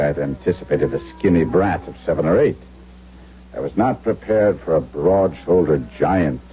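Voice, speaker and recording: An actor playing an earnest, principled young German doctor in a radio drama, speaking in a measured, formal way. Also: male voice, very deep voice. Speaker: narrator and lead character. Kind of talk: 1970s radio drama acting, with diary narration. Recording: muffled old radio broadcast with hum.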